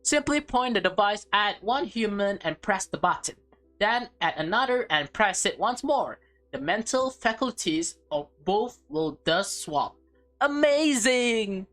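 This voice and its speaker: A woman's voice: mid-range voice, expressive, a little slow, a bit bright, faint music behind. A woman voicing a pompous alien scientist who addresses a human formally and condescendingly, read from a visual novel. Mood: grand, formal.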